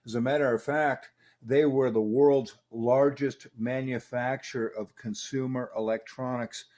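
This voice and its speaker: A man's voice: medium pitch, steady tone, measured pace, a little mellow. Man narrating a repair, matter-of-fact. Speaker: radio repair hobbyist, video host. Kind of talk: informal narrated repair demonstration.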